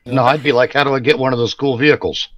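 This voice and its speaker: A man's voice: medium pitch, steady tone, fast, crowd noise. A man trading casual banter in a sarcastic, quipping way, recorded close on a voice mic.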